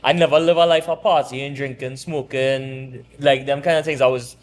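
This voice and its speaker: A man in his thirties from Trinidad, with a Trinidadian accent, talking relaxed and rambling as a barbershop owner in an interview. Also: medium pitch, normal speed. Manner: relaxed, rambling with restarts and laughs. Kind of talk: casual interview chat.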